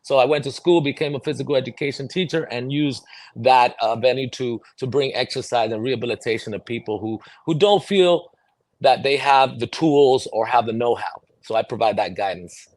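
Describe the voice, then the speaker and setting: A middle-aged man from New York City by way of El Salvador gives conversational interview answers. His medium-pitched voice is earnest and explanatory. The sound is close and dry, with no room around it. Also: moderate pace, darkish tone, dry recording. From New York City, born in El Salvador. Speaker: podcast guest, mobility fitness trainer.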